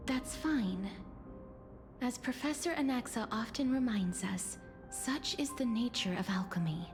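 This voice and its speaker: A gentle young woman of a fantasy game, solemn and tender. Her voice is fairly high, soft and breathy, with measured, formal phrasing. Clear music plays underneath. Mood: calm explaining. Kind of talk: video game story dialogue.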